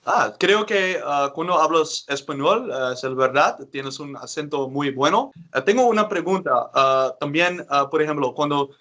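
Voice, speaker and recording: A man with a bright, fairly high voice interviews a guest on video. He hesitates often and fills pauses with drawn-out sounds. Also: animated. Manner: hesitant, many filler sounds.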